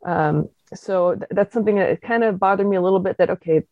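A woman's voice: medium pitch, quick pace, no room sound. A woman calling in to a fan talk show, chatting casually and thinking aloud over a dull, lo-fi line.

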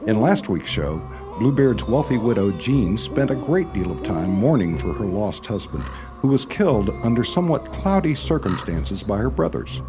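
A man's voice: lowish voice, big pitch swings, moderate pace. A man narrating a radio play as its announcer, reading scripted storytelling narration. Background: music.

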